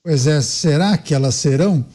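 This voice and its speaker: A man's voice: a little high, normal speed, dark tone. A man hosting a news talk show, putting long, winding questions to his panelists. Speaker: host.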